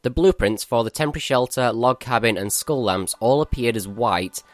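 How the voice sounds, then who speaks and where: A man's voice: medium pitch, normal speed, dry recording. A male gaming channel host giving a matter-of-fact, explanatory walkthrough of a game update.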